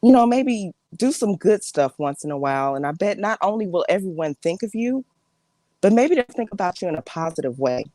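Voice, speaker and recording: Woman acting as exasperated family member, accusing, melodramatic monologue, big pitch swings, close dry sound. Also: sing-song, fairly quick, warm tone, no echo, close to an everyday voice. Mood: pleading. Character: fed-up woman confronting an attention-seeking dramatic relative.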